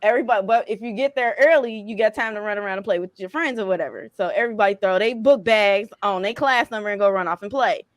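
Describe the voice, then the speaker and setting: A woman in her thirties from Chicago, co-hosting a casual podcast chat and telling profane, animated stories. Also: mid-range voice, fast, hardly any pauses, no room sound. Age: 30s. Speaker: podcast co-host.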